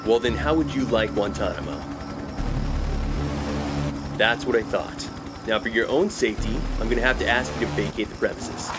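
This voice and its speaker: A man's voice: lowish voice, moderate pace, pauses often, close to an everyday voice. Man playing a commanding military captain over music. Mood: coldly threatening. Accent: American. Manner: clipped, authoritative, formal. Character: stern male Air Force captain, commanding and coolly intimidating. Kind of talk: audio drama acting.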